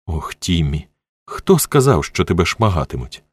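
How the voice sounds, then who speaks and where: A man's voice: low voice, very animated, moderate pace, husky, no echo, heavily acted. A man voicing a fiercely protective woman carer in an audiobook, urgent and emotional. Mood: tender, upset.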